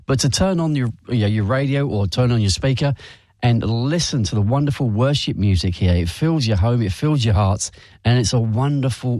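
A man's voice: medium pitch, darkish tone, dry recording. A man co-hosting a radio fundraising drive, jovial and teasing. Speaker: radio co-host. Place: radio studio.

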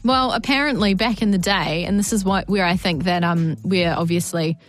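A woman's voice: on the low side, moderate pace, soft background music. A woman co-hosting a radio show in a studio, chatting casually, laughing and telling stories. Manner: chatty, laughs often, storytelling. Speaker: radio co-host.

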